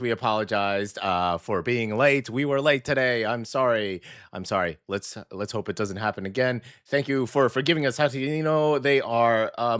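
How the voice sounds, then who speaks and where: Hoarse, gravelly radio host man. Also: mid-range voice, fairly bright tone. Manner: upbeat and chatty, with many fillers. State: hoarse, scratchy after a weekend out. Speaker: radio morning show host. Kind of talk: casual radio chat.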